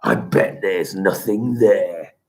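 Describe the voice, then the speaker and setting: A storybook reader voicing a gruff, scornful tyrannosaur father. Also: deep voice, animated, slow pace, exaggerated voice. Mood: scoffing. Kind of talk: storybook character voice.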